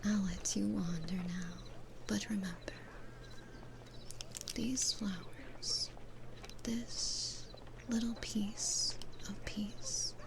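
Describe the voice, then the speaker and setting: Casting: woman to play a kindly, wise demon flower seller for ASMR roleplay; soft whispering, very slow and soothing. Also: mid-range voice, creaky. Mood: gentle, soothing. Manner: slow, soothing, nurturing, unhurried. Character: gentle, patient female demon florist, kindly and wise.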